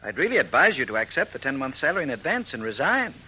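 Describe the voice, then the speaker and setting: A man's voice: medium pitch, sing-song, fast talker, sharp tone, slightly rough, lo-fi sound, exaggerated voice. A man acting a scheming corporate flunky in a 1940s radio drama, insinuating and full of veiled menace. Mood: menacing, resentful. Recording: noisy vintage radio recording.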